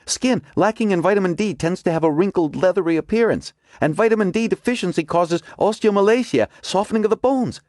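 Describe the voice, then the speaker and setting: A man's voice: sits high, brisk, hardly any pauses, a little mellow, dry recording, clean studio sound, pitched above the performer's own voice, close to an everyday voice. A man voicing a determined young woman scientist who talks breathless and insistent. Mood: explaining.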